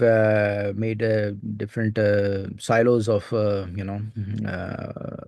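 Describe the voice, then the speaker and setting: A man with an Indian accent hosts a recorded interview, his voice sitting somewhat low. He talks in halting stretches, padding thoughts with many fillers. The sound is dry and close. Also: a little mellow, dry recording. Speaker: host.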